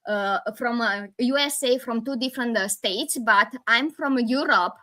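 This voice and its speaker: A woman in her forties from Romania with a Romanian accent, hosting a live video call chat, animated and halting with frequent restarts and laughs. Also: on the high side. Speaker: host.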